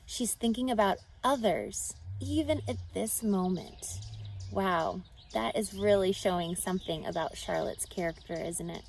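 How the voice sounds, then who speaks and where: A woman reading a children's story aloud expressively, pausing to explain and ask questions like a teacher. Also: mid-range voice, animated, vocal fry, a little mellow, slight background noise. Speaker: storybook reader.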